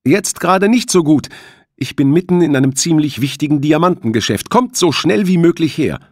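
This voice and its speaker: A defiant teenage boy in a thriller audiobook, voiced by a man. The voice is raised well up and swings widely in pitch, urgent and commanding, with a nervy edge. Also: lively intonation, moderate pace, dry recording, clean studio sound.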